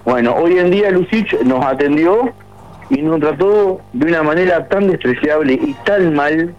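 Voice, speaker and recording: A man's voice: fairly high pitch, expressive, unhurried. A man in his forties with a Uruguayan accent, calling a radio show to complain at length, with many restarts. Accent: Uruguayan Spanish. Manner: aggrieved, rambling with many restarts. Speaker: caller. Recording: muffled phone line on radio.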